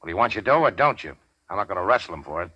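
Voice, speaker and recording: An actor playing a tough, cynical, wisecracking crime boss with an American accent in a 1940s radio drama, talking in clipped slang. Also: male voice, low-pitched, sing-song, racing, breathy edge, dry recording, heavily acted. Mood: cool, businesslike. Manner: clipped slangy wise-guy talk. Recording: dull 1940s radio recording.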